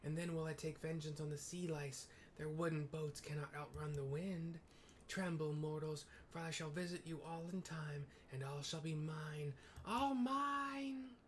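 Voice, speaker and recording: A man's voice: fairly high pitch, unhurried, pitched above the performer's own voice, lightly acted. A vengeful wind goddess , put on by a man narrating the game, speaks in a raised, haughty tone. She threatens mortals with grand, declaiming lines.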